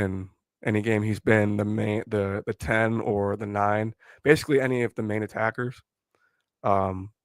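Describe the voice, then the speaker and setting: A hoarse young man who has lost his voice while sick, rambling opinions on a casual live stream. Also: on the low side, no room sound. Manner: rambling, opinionated, filler-heavy. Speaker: fan channel host.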